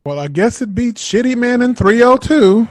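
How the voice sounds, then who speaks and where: A man's voice: extremely high pitch, expressive, normal speed, dry recording, clean studio sound. A man playing an irreverent young nursing-home worker in an audio drama, joking in casual slang. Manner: slangy, playful bantering. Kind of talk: naturalistic audio drama acting.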